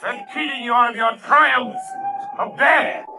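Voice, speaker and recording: An actor playing a mysterious, commanding crime-fighting avenger in an intense, emphatic 1930s radio drama style. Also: male voice, extremely high pitch, huge pitch swings, measured pace, gravelly, pushed and bright, breathy edge, heavily acted. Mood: goading. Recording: vintage 1930s radio broadcast.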